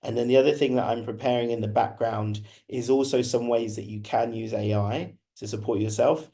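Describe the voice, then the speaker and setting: A man in his thirties with a husky voice, slightly hoarse from being unwell, giving a conversational online presentation with frequent restarts. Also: mid-range voice, steady tone, a little fast, warm tone. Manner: conversational, many restarts and repetitions. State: recovering from illness, slightly hoarse. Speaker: guest speaker presenting a webinar.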